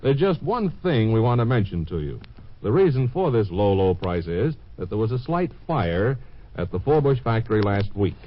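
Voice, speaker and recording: Brash male bargain pitchman, a comedian's act, swooping emphatic delivery, mock-earnest, muffled vintage radio sound. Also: moderate pace, slight background noise. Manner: emphatic, deadpan salesmanship. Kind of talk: 1950s radio ad parody. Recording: muffled 1950s radio broadcast.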